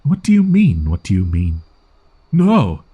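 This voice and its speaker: A man's voice: on the low side, huge pitch swings, measured pace, mellow, slightly rough, exaggerated voice. A man voicing a proud, easily flustered young wolf-boy in an audio roleplay, speaking in haughty, archaic, formal phrasing. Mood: startled curiosity.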